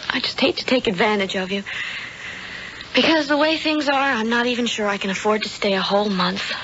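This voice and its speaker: A woman's voice: a little high, fairly quick, fairly bright tone, natural voice. An actress playing a sweet, sheltered young small-town woman, shy and earnest, in a 1970s radio drama. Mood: shy, embarrassed. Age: young adult. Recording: dull, noisy old radio drama recording.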